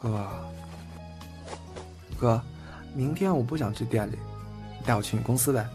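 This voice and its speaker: A man's voice: mid-range voice, normal speed, lightly acted. A man acting a bashful, cheeky young man who stammers and gets flustered with his older boyfriend in a Chinese audio drama. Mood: hesitant, coy. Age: young adult. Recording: loud background music.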